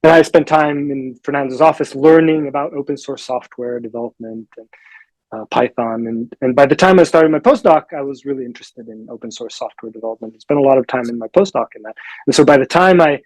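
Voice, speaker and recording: A man's voice: medium pitch, brisk, dry recording, rough recording. A man from Israel, a research professor on a panel, thoughtful and often repeating words as he starts a sentence. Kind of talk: casual spoken account.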